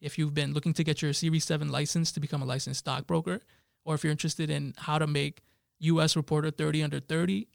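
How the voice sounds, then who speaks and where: Fast-talking gravelly young man hosting. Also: fairly high pitch, no room sound, studio quality. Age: 20s. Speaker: podcast host. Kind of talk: casual interview chat.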